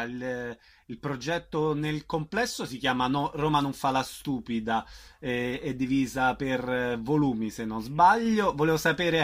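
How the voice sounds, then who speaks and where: A man's voice: fairly high pitch, fairly bright tone. A man hosting a live radio interview, informal and somewhat hesitant in his phrasing.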